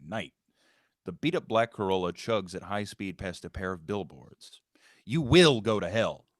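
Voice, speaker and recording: Low-pitched man narrating a screenplay, very dry. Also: no echo. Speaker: stage-direction narrator.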